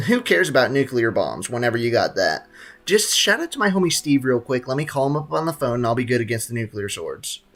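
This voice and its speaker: Joking sarcastic young streamer. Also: male voice, mid-range voice, sing-song, fairly quick, fairly bright tone, faint music behind. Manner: joking, sarcastic, laughs often. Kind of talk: gameplay livestream commentary.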